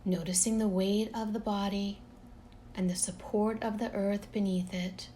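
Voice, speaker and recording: A woman leading a guided relaxation meditation in a calm, gentle, unhurried manner, leaving long pauses between phrases. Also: mid-range voice, a little hiss or hum.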